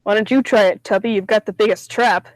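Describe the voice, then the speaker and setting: A man's voice: high-pitched, fairly even intonation, fairly quick, no echo, close to an everyday voice, poor audio. A man playing a folksy, teasing backcountry game warden in a radio comedy sketch, with wisecracking cowboy talk.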